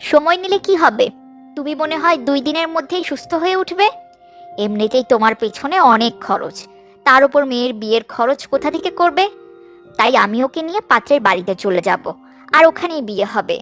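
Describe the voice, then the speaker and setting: A woman's voice: sits high, fairly quick, soft background music. A woman playing a greedy, scheming cartoon stepmother who grumbles, scolds and bosses people in a melodramatic style. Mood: scolding, domineering. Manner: sharp-tongued, grumbling, domineering. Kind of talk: melodramatic Bengali cartoon dubbing.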